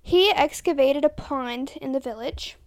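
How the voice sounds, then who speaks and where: High, gravelly woman reading notes. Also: measured pace. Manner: reads from notes, stumbles over names and self-corrects. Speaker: podcast co-host. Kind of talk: casual chat reading history notes.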